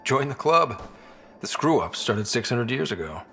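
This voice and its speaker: An actor playing a wry, steady young expedition leader in a video game, with a husky voice. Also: male voice, mid-range voice, measured pace, a little hiss or hum. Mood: wry, friendly. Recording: game dialogue over faint music.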